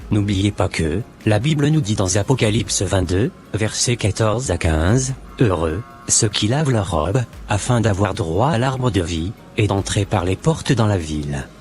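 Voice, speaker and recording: Low-voiced devout man, acted by a man, measured. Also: expressive, a little mellow, faint music behind. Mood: calm, admonishing. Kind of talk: scripted cartoon dialogue.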